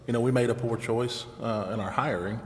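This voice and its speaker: A man's voice: mid-range voice, fairly even intonation, brisk. An American man giving matter-of-fact remarks as a council member in a public meeting. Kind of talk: deliberative public meeting discussion. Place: indoor council chamber. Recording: echoey meeting chamber with noticeable background noise.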